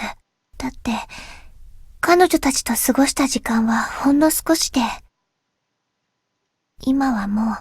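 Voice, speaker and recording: A shy teenage schoolgirl from a visual novel. Her voice is high and soft, with wistful, hesitant, polite phrasing. The sound is close and dry. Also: no room sound. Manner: polite, hesitant, speaking in short gentle phrases. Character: shy, wistful teenage schoolgirl, recently back from long absence. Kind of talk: Japanese visual novel voice acting.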